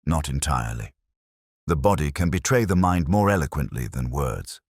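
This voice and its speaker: A man voicing a coolly logical, commanding Victorian gentleman detective in an audiobook, deliberate and formal. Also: rumbling low, very animated, slightly rough, dry recording, studio quality, full character voice. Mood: shrewd, deliberate. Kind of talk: audiobook character dialogue.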